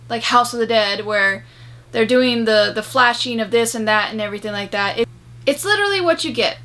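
Young female vlogger, conversational and emphatic, higher-pitched bright voice, casual review delivery. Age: young adult. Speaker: video host and game reviewer. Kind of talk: casual movie review to camera. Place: small room at home, indoors.

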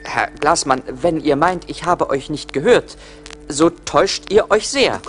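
Naive pleading country lad, high bright voice, swoopy, old lp radio play. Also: male voice, lively intonation, measured pace, faint music behind. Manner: theatrical, earnest, imploring. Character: naive, eager, pleading young peasant lad. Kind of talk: 1980s German radio play acting. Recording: vintage LP radio play, audible noise.

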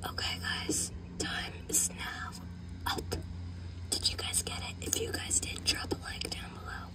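A woman whispering as an ASMR host, chatting playfully and directly to her viewers. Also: measured pace.

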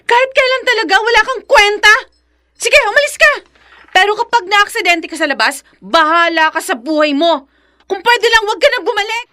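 A jealous live-in girlfriend with a Filipino accent and a very high voice. She is suspicious and fiery, voiced in heightened radio-drama style. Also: animated, normal speed, bright, forceful tone, heavily acted. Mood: angry, hurt.

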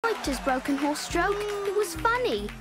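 An actress playing an innocent young demon owl boy in an animated series. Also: very high voice, measured pace, full character voice. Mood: childlike amusement. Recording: loud music under the voice.

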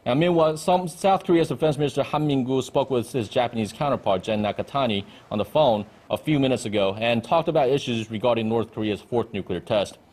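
Field correspondent, male, thirties, medium pitch, live report from a briefing room. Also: fairly quick, few pauses, a little mellow. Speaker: news correspondent. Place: indoor ministry briefing room. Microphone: handheld-style reporter mic on desk.

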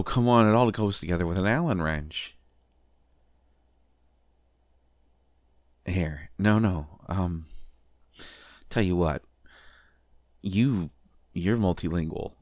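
A man playing an affectionate, bashful partner in an intimate roleplay audio, talking haltingly with stammers and gentle teasing. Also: sits low, no room sound, studio quality. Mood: playful. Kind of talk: intimate roleplay audio acting, one side of a conversation.

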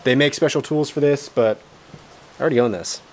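A chatty man in his thirties talks a van build through step by step, with an easy, self-deprecating tone. His voice sits at an ordinary middle pitch for a man. He is close to a handheld camera in a garage workshop. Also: fairly quick, darkish tone, slight background noise. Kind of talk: casual DIY explainer vlog. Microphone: handheld camera close to face.

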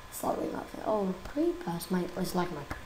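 A young woman with a croaky voice from a cold, chatting casually and giggling while she plays a game. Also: medium pitch, lively intonation, moderate pace, roomy sound, background noise. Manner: chatty, giggly, easily exasperated. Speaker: gaming YouTuber. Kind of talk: casual gameplay commentary.

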